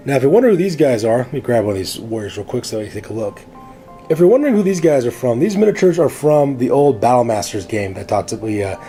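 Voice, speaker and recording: A man presents his hobby collection, voice raspy and worn from a cold, words tumbling out quickly. He speaks at a middling pitch, calls out to his viewers like a showman and talks loosely with plenty of fillers, over faint background music. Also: dark tone. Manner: showman-style address to viewers, chatty with many fillers. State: hoarse from a cold. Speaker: host of a miniatures hobby channel. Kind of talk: casual hobby showcase presenting.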